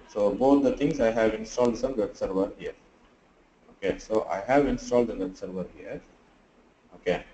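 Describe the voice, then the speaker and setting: A sleepy man teaches a live class over a video call, pausing often and thinking aloud as he works. His voice is mellow and dark, and the audio sounds dull and roomy, with a faint hiss underneath. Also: lowish voice. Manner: halting, thinks aloud with many fillers. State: sleepy and tired. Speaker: online class instructor. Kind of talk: live screen-share tutorial.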